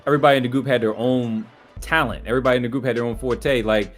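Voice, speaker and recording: Smooth-voiced male R&B singer. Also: mid-range voice, racing. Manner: relaxed storytelling, often says "you know what I mean". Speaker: R&B singer and host. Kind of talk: casual chat.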